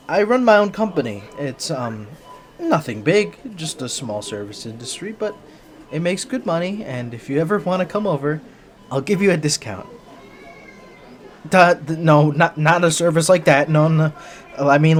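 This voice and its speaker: A man's voice: a little high, lightly acted. A man playing a shy, flustered young bunny-boy hybrid in an ASMR roleplay, stammering and hesitant, earnest and sweet as he speaks to the listener. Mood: flustered, embarrassed.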